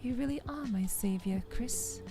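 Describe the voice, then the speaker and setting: A woman's voice: fairly high pitch, normal speed, voice pushed up, natural voice. A woman voicing a caring, upbeat young woman from a visual novel, light and attentive. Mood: relieved, grateful. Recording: music behind the voice.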